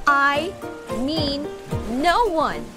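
High, lively bossy twin sister played by a woman. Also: music in the background, exaggerated voice. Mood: urgent, puzzled. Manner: brisk, scolding, emphatic. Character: bossy, easily exasperated teenage twin sister. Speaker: amateur voice actor. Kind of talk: anime-style video game fandub.

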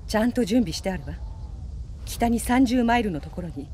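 An actress playing a poised young female spy in a video game cutscene, speaking in a cool, intimate, deliberate way. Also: medium pitch, lively intonation, warm tone, slight background noise, lightly acted. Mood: cool briefing. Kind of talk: dramatic game cutscene acting. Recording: music behind the voice.

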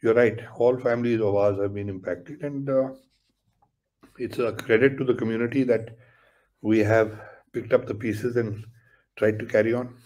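An older man with an Indian accent, a guest author speaking quickly over a video call and mixing English with Hindi. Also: lowish voice, steady tone, darkish tone, rough recording. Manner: rapid, switches between English and Hindi. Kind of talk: conversational interview. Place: home room, video call.

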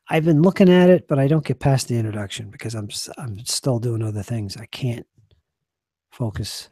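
A hoarse man in his forties, a game master losing his voice, chatting casually and earnestly on a live stream from a small home study into a desk microphone. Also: on the low side, no echo. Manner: rambling, earnest, trailing off quietly. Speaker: game master and channel host.

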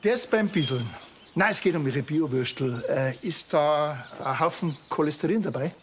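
A man's voice: fairly high pitch, animated, normal speed, a little hiss or hum, close to an everyday voice. A man acting as a dry, brusque village police officer with a Bavarian accent and a curt, offhand way of talking.

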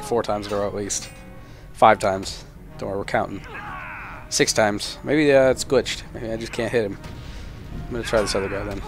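Young male gamer, deeper side, casual and foul-mouthed, wry running commentary, clear music behind. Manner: sarcastic, profane running commentary. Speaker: gaming video host.

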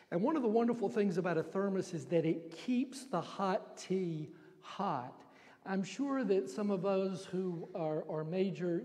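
Older pastor, slow and high, churchy reverb. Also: male voice. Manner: gentle, folksy storytelling with homely examples. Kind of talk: children's sermon. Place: church sanctuary. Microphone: handheld mic.

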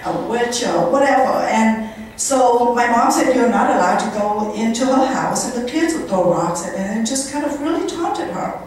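A middle-aged woman delivers a storytelling monologue in a conversational way, voicing bits of dialogue as she goes. She speaks into a handheld mic in a function room, heard from a distance with heavy echo and faint noise. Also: mid-range voice. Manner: conversational, animated retelling with quoted dialogue. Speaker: guest speaker at a charity event. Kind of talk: storytelling monologue to a live audience. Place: indoor function room at a country club. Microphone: handheld microphone, picked up by a far camera. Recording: echoey room, distant camera microphone, room noise.

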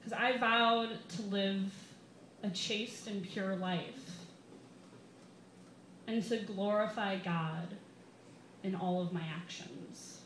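Bright, slow-paced young American woman on stage. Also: medium pitch, steady tone. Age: 20s. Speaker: comedian reading her essay. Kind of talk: comedic personal essay read live. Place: small bar reading series, indoors. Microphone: microphone on a stand, held close. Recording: roomy live bar, some background noise.

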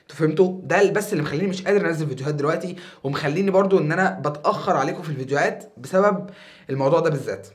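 A young man in his twenties with an Egyptian Arabic accent, talking casually to camera as a YouTuber in a small foam-paneled room, animated and a bit tired. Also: a little high, rapid-fire. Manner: animated, gestures a lot with his hands.

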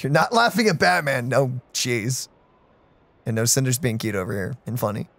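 A man's voice: medium pitch, lively intonation, normal speed, vocal fry, fairly bright tone, a little hiss or hum. A man co-hosting a let's play, chatting casually and reacting to the game. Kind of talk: casual gameplay reaction chat. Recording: game music behind.

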